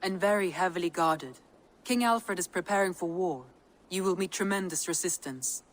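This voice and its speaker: An actress playing a serious, assured Viking war leader in a video game, with a formal, matter-of-fact manner. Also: female voice, on the low side, moderate pace, natural voice. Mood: serious, confident. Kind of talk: video game dialogue acting.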